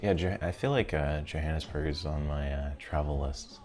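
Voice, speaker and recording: A man's voice: extremely low pitch, normal speed, darkish tone, soft background music. A man hosting a live online radio show, chatting casually with callers in an easygoing way.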